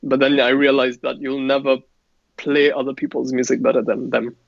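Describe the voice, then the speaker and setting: Guy, gravelly and flat, chill about it, dull lo-fi sound. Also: medium pitch, dry recording. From Kenya. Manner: relaxed, unhurried, laughs easily. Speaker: musician guest. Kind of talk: casual interview answers.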